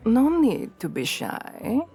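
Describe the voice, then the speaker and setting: A woman's voice: fairly high pitch, huge pitch swings, slow, creaky, warm tone, slightly rough, faint music behind, exaggerated voice. A woman playing an assertive, seductive vampire queen in an ASMR roleplay, formal and courtly, coaxing the listener with veiled menace. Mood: gracious, probing.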